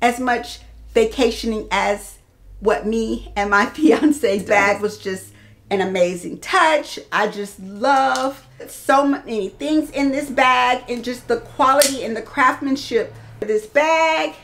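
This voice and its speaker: Chatty high-voiced woman vlogger. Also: slow, a bit bright. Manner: chatty, addresses viewers as girls and ladies. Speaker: fashion vlogger and host. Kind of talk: casual product showcase to camera. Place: small indoor room lined with clothes and bag shelves.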